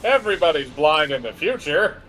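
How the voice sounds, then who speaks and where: Animated, highish-voiced male gameplay co-commentator. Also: normal speed, low-quality recording. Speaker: co-commentator on a game stream. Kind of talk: casual chat over gameplay. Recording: game music behind the voice.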